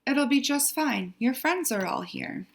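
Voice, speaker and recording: A woman voicing a small, caring male mouse in a children's storybook, fretful and soothing in a gentle, coaxing way. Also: high voice, expressive, unhurried, gravelly, voice pushed up, full character voice. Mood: reassuring.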